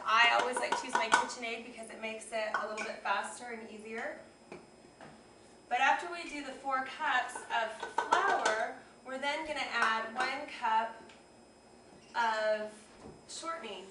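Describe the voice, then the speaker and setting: A woman hosting a casual home cooking demonstration, a little hoarse, talking chattily as she works. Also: fairly high pitch, very noisy. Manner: chatty, friendly instructional tone. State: slightly hoarse. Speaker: cooking show host. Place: home kitchen. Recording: echoey kitchen, mic at a distance.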